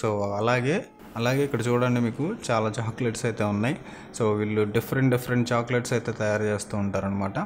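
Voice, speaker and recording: A young man vlogging a factory tour, explaining each step to his viewers in a friendly way, recorded indoors in a small workshop. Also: on the low side. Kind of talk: casual vlog narration.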